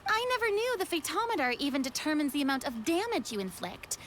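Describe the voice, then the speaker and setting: A woman's voice: sits high, very animated, fast talker, fairly bright tone, a little hiss or hum. An actress voicing a bubbly but wary young woman in a video game.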